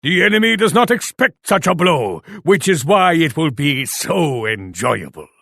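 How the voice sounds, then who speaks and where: An actor playing a proud, imperious Japanese warlord in a video game, speaking formally. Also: male voice, on the high side, sing-song, a little slow, creaky, sharp tone, dry recording, clean studio sound, exaggerated voice. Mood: grim, resolute. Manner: imperious, formal, speaks of himself in third person. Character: proud, haughty Japanese warlord commanding his armies. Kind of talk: video game character voice lines.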